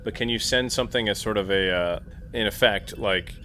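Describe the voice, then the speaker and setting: A man's voice: fairly low pitch, brisk, sharp tone, slight background noise. A man talking casually as a player in a tabletop role-playing game.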